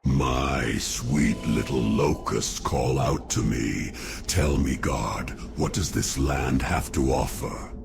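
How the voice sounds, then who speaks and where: Undead spider king, slow drawl, crooning menace, gravelly croak, faint buzzing noise, game cutscene. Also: heavily acted. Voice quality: deep gravelly creaking croak. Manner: slow, crooning, speaks grandly of himself. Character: gloating giant undead spider lord, doting on locusts. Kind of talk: fantasy game cutscene acting.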